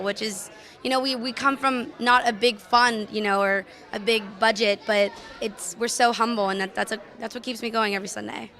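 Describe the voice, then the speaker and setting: A woman parishioner chats casually and eagerly in an interview. Her voice sits fairly high, and she talks in an easy, conversational way. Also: fairly bright tone.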